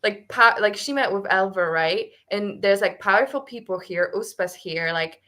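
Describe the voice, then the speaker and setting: A woman's voice: mid-range voice, normal speed. A young woman co-hosting a casual book club discussion, chatting animatedly and filling her talk with "like". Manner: chatty, heavy use of "like", animated.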